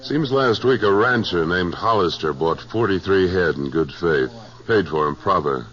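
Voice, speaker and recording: A man's voice: low voice, expressive, moderate pace, fairly bright tone. An actor playing a tough, self-assured western cattleman with an American accent in a radio drama, terse and unflinching. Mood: coolly accusing. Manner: terse, unflinching, plain-spoken. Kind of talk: 1950s radio western acting, first-person narration and dialogue. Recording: dull 1950s radio recording.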